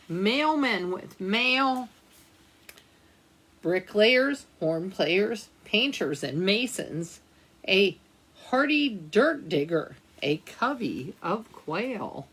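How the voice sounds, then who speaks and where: A woman's voice: fairly high pitch, a bit bright, slightly rough, low-quality recording. A woman reading a picture book aloud for children's storytime, speaking to young listeners as she goes. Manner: addresses young listeners with questions and asides. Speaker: librarian storytime reader.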